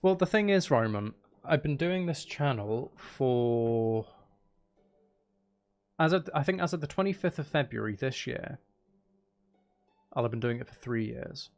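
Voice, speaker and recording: A man's voice: medium pitch, expressive, a little slow, long pauses, darkish tone. A man chatting casually and in a rambling way as he hosts a livestream while gaming. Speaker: streamer, ASMR channel host.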